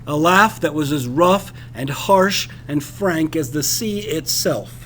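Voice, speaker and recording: Male story reader, high-pitched, expressive and deliberate, slight background noise. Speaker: reader of a literary passage. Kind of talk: expressive scripted audiobook-style narration.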